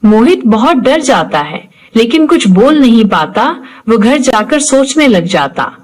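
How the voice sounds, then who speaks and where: A woman's voice: a little high, big pitch swings, measured pace. A woman narrator reading a scripted horror story in a storytelling style.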